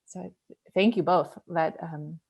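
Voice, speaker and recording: A woman with a voice a little lower than most leads a church discussion group. She speaks informally and reflectively, like a pastor explaining scripture, and often checks in with a small tag question. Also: steady tone, normal speed, creaky, darkish tone. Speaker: group leader and pastor of a church Bible study. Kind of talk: casual Bible study discussion.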